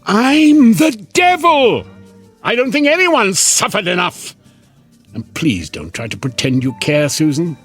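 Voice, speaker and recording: An actor playing a sardonic, chatty devil in an audio drama, theatrical and mocking, with a husky voice. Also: male voice, high voice, very animated, measured pace, a bit bright, faint music behind, exaggerated voice. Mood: indignant, sneering. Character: sardonic, wheedling male devil, casual and mocking.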